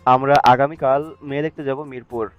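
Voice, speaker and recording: A man acts an insistent, short-tempered father in a romantic audio drama. The pitch is average for a man, and the sound is a bit dull with music behind. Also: fairly even intonation, a little fast, natural voice. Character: insistent, irritable father pushing his son to marry. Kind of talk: audio story acting.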